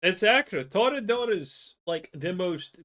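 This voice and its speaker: Streamer guy, high animated voice, blunt, swears, casual reaction talk. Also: unhurried. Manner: blunt, profane, heated opinion.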